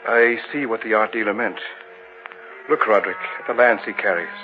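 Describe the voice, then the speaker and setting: An actor playing a confident, urbane gentleman friend in an old radio horror drama, speaking in a grand, jovial way. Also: on the low side, brisk, music in the background, lightly acted. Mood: intrigued. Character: hearty, urbane male friend, confident occult sceptic turned intrigued. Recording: tinny old radio recording with hiss.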